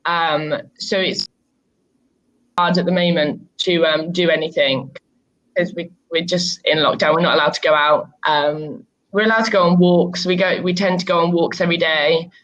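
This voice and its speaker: A woman English teacher talks with her student over a video call, chatty and relaxed, filling her pauses with ums. Her voice sits a bit low. The call audio sounds dull and muffled. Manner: chatty, easygoing, many ums. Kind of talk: casual conversation lesson.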